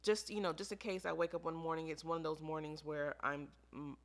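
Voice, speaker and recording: Low-pitched American woman, creaky casual vlogger. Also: flat delivery, brisk. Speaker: beauty vlogger reviewing products. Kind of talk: casual chat to camera.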